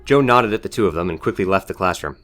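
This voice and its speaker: A man narrates a novel for an audiobook. His voice sits low, and he delivers scripted prose as a storyteller. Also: animated, fast talker, fairly bright tone.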